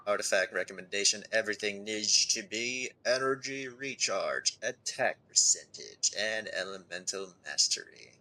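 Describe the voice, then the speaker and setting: Bright, forceful low-voiced male game streamer. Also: animated, normal speed, hardly any pauses, poor audio. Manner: thinks aloud, reads on-screen text, asks himself questions. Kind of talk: casual live game stream commentary. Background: music.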